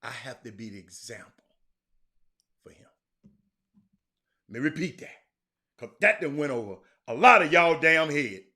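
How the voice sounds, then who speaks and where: Bright, forceful American man talking sports. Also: medium pitch. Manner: folksy, repeats words and phrases for emphasis. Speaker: sports talk show host. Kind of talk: casual livestream sports commentary, chatting with viewers.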